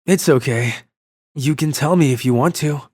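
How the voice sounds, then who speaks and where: A man playing a kind, lovelorn young traveller in an English manga dub, narrating his story and speaking in an earnest, soft-hearted way. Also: fairly high pitch, normal speed, husky, no echo, studio quality. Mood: earnest, concerned.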